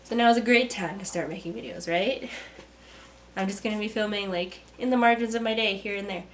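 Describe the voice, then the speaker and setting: A young woman, a mother and artist, talking to camera from a script in a soft, reflective vlog; she sounds stuffy and congested. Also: mid-range voice, brisk, a little hiss or hum. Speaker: vlogger, artist. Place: home. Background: kids playing.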